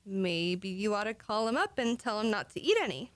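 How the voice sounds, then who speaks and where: A woman's voice: mid-range voice, expressive, normal speed, no echo, natural voice. An actress playing a sweet, scatterbrained wife who muddles her words, artless and fretful, in an old-time radio comedy. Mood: fretful, fond.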